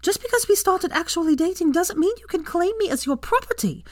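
Shrill, furious young witch, read by a woman, high and loud, accusing. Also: expressive, brisk, no room sound, clean studio sound. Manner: shrieking, sharp accusations.

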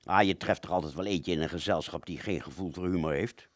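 A man's voice: low-pitched, brisk, no room sound, studio quality. A man playing a rambling, agitated wartime fighter pilot who relives battle in a stammering, dramatic radio play monologue. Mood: dreamy, recollecting.

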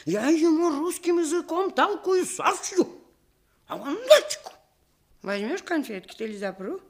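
A woman's voice: high voice, very animated, measured pace, exaggerated voice. An actress playing an old Russian village grandmother in a radio play, scolding yet doting, in folksy rural dialect. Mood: indignant scolding. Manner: folksy dialect speech with lamenting exclamations.

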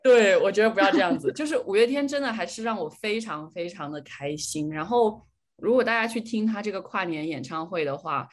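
A woman's voice: mid-range voice, expressive, fairly quick. A woman hosting a podcast in casual chat, talking with a fan's giggly enthusiasm. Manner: giggly, enthusiastic fan talk. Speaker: podcast host. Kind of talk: casual chat among friends.

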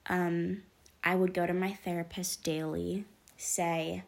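A woman's voice: on the low side, level tone, takes it slowly, vocal fry. A teenage girl with sniffles from allergies, talking openly to her camera in a rambling, casual vlog. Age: late teens. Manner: chatty, rambling, lots of ums and likes. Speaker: vlogger.

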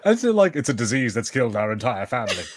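Man, average pitch, casual, conversational, informal film banter, podcast co-host. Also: big pitch swings, fast talker. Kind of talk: casual watch-party film chat.

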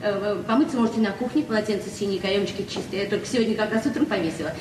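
A woman's voice: mid-range voice, rapid-fire, close to an everyday voice. An actress in a radio play as a naive, talkative librarian in her forties, speaking hesitantly and repeating herself. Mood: chatty, earnest. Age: 40s. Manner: flustered, hesitant, chatty, repeating words. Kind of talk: naturalistic Soviet radio drama acting. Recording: echoey, distant, noisy 1970s radio recording.

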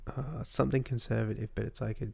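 Laid-back young man with a gravelly voice. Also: mid-range voice, flat delivery, quick pace, darkish tone. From Romania. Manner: laid-back, trails off and searches for words. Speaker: podcast co-host. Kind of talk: casual chat.

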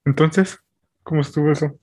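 A man's voice: a little high, fairly even intonation, moderate pace, a little mellow, slightly rough. A young man chatting casually, talkative and explaining with examples. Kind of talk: casual chat among friends.

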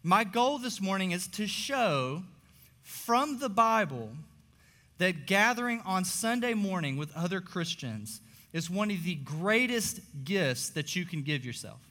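A young man, a pastor, preaching a sermon in a church auditorium, his voice slightly hoarse, earnest and full of "uh" fillers. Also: high-pitched, big pitch swings, measured pace, pushed and bright. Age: young adult. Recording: long reverb of a large hall.

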